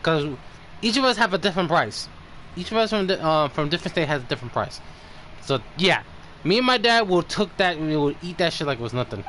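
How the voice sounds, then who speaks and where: A man from New York livestreaming a game, chatting casually in a halting, rambling way. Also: fairly high pitch, sing-song, pushed and bright, slight background noise. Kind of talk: casual stream chat, reading game dialogue aloud.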